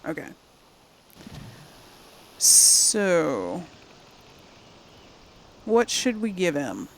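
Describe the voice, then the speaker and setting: Woman gamer with a creaky voice. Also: on the low side, big pitch swings, extremely slow, low-quality recording. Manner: chatty, thinks aloud. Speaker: gaming channel host playing through a game. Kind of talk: casual let's-play commentary.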